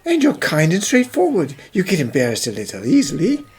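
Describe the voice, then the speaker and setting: A woman's voice: fairly low pitch, huge pitch swings, fairly quick, a little mellow, slightly rough, exaggerated voice. A woman voicing an earnest, devoted young male suitor in an anime dub. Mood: tender, pleading. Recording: background music under the dialogue.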